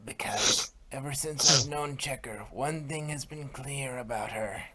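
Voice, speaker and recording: A man voicing a grave, knowing pony healer in a fanfic reading, explaining calmly. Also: medium pitch, unhurried, noisy background, close to an everyday voice.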